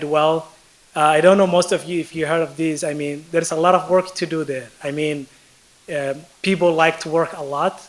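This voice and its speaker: Male guest speaker, thirties, Somali accent, fairly high voice, telling his own story to an audience. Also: a bit bright, low-quality recording. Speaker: guest speaker, PhD student. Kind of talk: personal speech to an audience.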